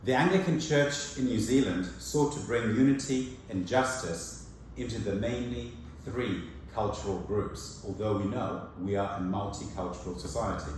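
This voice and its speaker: A man whose first language is Shona, giving a sermon as a preacher, measured and earnest, with slow emphatic phrases. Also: mid-range voice, background noise. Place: church hall. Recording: echoey church from far off.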